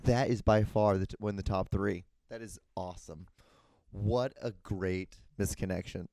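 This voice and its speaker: A man hosts his own show, rambling in an amused, crude way and stumbling over words. His voice sits low and mellow. The sound is dry and close. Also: steady tone, normal speed, vocal fry, no echo. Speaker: podcast host. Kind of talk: casual solo rambling, reading posts aloud.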